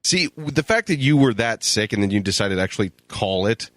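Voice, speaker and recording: A sick co-host with a nasal, stuffy voice, speaking lower than most men. He drops short dry jokes and teasing remarks. The recording is dry and close. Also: lively intonation, brisk, no room sound. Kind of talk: casual comedic chat.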